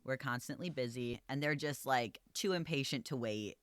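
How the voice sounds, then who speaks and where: A man who manages a coffee shop, talking casually and calmly, with a voice that projects and lots of "like" and "um.". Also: mid-range voice, normal speed, smooth, dry recording, clean studio sound. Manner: calm, easygoing, filler-heavy with lots of "like" and "um". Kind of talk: casual podcast chat.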